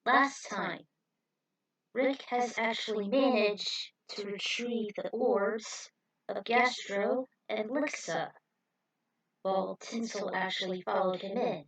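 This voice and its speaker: A woman's voice: medium pitch, slow pace, fairly bright tone, rough recording. A woman reading scripted story narration as the narrator of an adventure tale. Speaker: narrator reading own fan fiction.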